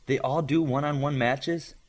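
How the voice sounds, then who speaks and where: A man's voice: medium pitch, fairly even intonation, unhurried, natural voice. Man playing a curious, earnest teenage boy. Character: young teenage boy with telepathy, curious, polite, a bit naive.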